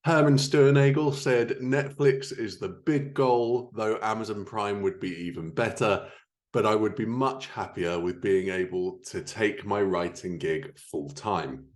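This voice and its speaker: Low-voiced man hosting a writing podcast. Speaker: podcast host and writer. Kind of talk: scripted podcast narration and introduction.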